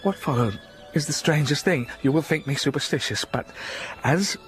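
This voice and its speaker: A man playing a brooding blind older gentleman. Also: on the high side, normal speed, faint music behind, close to an everyday voice, rough recording. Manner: intense, impassioned. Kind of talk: radio drama acting.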